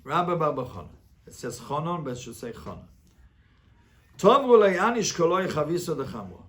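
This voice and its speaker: A man teaching an interactive Talmud class as a rabbi, reading the text and translating it phrase by phrase with animated repetition and rhetorical questions. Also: fairly high pitch, fairly quick.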